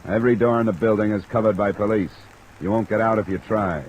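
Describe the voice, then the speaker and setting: A cool American investigator played by a male actor talks in a deep, low register. He is terse and matter-of-fact in vintage radio detective style, under a light wash of rain. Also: steady tone, quick pace, a little mellow, muffled recording, slight background noise, lightly acted. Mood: firm, insistent. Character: cool, blunt freelance insurance investigator. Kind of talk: mid-century American radio detective acting. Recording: old radio recording under rain sound.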